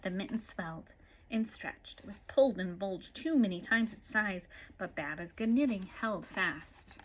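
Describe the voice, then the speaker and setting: A woman reading a picture book aloud to children in an animated way, adding little asides as a storyteller. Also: medium pitch, moderate pace, slight background noise. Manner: animated, with asides to young listeners. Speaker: story-time reader.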